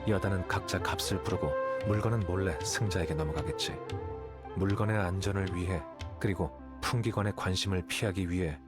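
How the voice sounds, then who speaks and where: Low calm aloof scholar dude from a video game, matter of fact, calculating, music playing behind. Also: male voice, breathy edge. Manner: composed, matter-of-fact, measured explanations. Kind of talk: scripted game dialogue, Korean voice acting.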